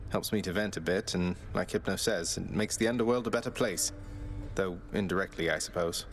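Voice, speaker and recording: A young underworld prince in a video game, in a low, deep voice. He is earnest and polite, understated with a wry edge, over faint music. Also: brisk. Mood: wry, content. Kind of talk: video game character dialogue.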